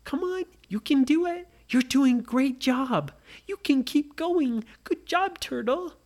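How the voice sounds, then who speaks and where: A gentle, cheerful little girl in a bedtime story, put on by a woman storyteller. She coos and cheers in a bright, lifted voice, swooping up high when she praises, then softening to a pleading hush. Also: expressive, slow, heavily acted. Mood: excited, cheering. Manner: coaxing, gushing, high and breathy praise. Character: sweet, eager young girl, gentle and cheerful.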